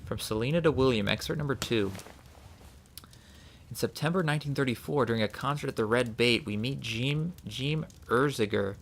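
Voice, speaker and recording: A man casually commenting on a game as he plays, thinking aloud and reading the notes he finds out loud. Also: mid-range voice, moderate pace, vocal fry, slight background noise. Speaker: let's play host.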